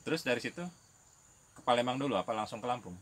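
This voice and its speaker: A man's voice: mid-range voice, fast talker. A man hosting a casual chat, speaking with a tinge, asking direct, slangy follow-up questions. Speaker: host.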